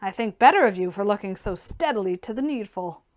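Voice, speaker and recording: A woman voicing a blunt, fiery, mocking gentleman reformer in a forceful, declamatory audiobook reading. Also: mid-range voice, lively intonation, brisk, voice pushed down, close to an everyday voice. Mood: coolly probing.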